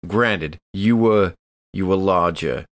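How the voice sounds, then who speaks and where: A man playing a solemn, earnest companion in a tabletop game, speaking in a measured, deliberate way. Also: deep voice, no echo. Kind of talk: improvised tabletop role-play.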